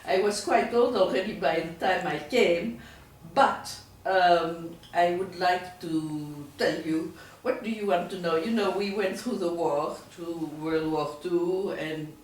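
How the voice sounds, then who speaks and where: Slow, echoey older woman remembering. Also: on the low side. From Belgium. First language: French. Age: 80s. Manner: unhurried, with frequent hesitations and restarts. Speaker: oral history interviewee. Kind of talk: reminiscing in a recorded interview. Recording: echoey room, mic far off.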